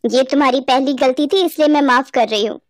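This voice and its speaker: A woman's voice: high voice, fairly quick, no echo, natural voice. A woman voicing a stern but caring schoolteacher in a cartoon story, firm and lecturing.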